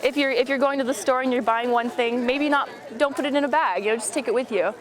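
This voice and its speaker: Higher-pitched young woman explaining informally. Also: quick pace, a crowd in the background. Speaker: campus club member giving an interview.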